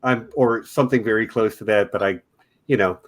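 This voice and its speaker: A man's voice: on the low side, fairly quick. A man from Texas with a Texan accent, hosting a casual discussion and often restarting his sentences. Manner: chatty, self-correcting. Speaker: podcast host.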